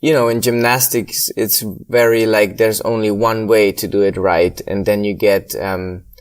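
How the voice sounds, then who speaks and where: A man in his thirties from Switzerland talks about his work in a relaxed interview. His voice sits a little low, and he speaks English with frequent pauses and searching for words. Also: clear, resonant tone. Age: 30s. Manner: hesitant, many ums, slightly non-native phrasing. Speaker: guest, circus acrobat. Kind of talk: casual interview conversation.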